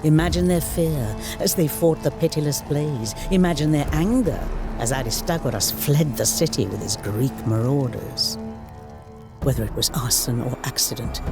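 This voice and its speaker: A man's voice: medium pitch, lightly acted. A man playing a solemn ancient chronicler, narrating history for a video game in a grave, theatrical storytelling manner. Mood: ominous. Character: male ancient chronicler recounting war with solemn relish. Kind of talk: dramatic scripted historical narration. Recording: studio voice-over under prominent music.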